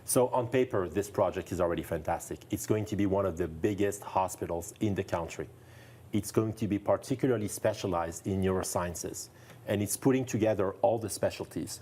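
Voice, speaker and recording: A man's voice: low-pitched, warm tone. A man from France with a French accent, a neurologist guest speaking earnestly and enthusiastically in a TV studio interview, repeating phrases for emphasis.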